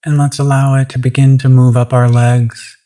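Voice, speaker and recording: A meditation guide speaking gently and soothingly, unhurried, with long pauses between phrases. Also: medium pitch, little pitch movement.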